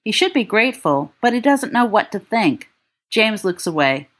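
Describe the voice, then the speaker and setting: A woman reading fiction aloud as an audiobook narrator, with expressive delivery. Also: medium pitch, moderate pace. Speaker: volunteer audiobook reader.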